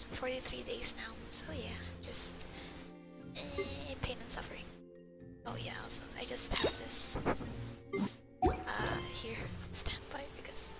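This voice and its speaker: A woman with a high voice and plenty of vocal fry chats through her gameplay. She rambles informally, with loud game music playing behind her. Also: low-quality recording. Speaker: video game player recording a playthrough. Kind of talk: casual rambling gameplay commentary.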